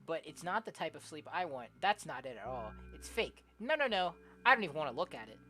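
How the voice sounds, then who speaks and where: Female game streamer, lowish bright voice, lively narration with casual asides, light music behind. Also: a little fast. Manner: expressive, often giggly, slangy. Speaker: streamer playing a visual novel. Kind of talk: let's-play, reading game text aloud with casual reactions.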